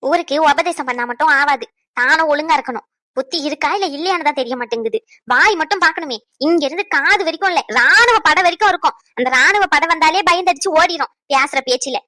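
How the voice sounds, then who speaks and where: A woman doing a shrill scolding mother. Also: animated, quick pace, dry recording. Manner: nagging, lecturing, sharp. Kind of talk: family drama skit.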